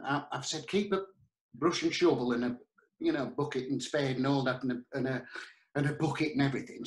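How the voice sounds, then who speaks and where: A man with a Northern English accent, telling comic anecdotes in an animated, chatty, earthy and colloquial way as a podcast guest. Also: a little high. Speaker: podcast guest, pantomime performer. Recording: roomy, echoey sound.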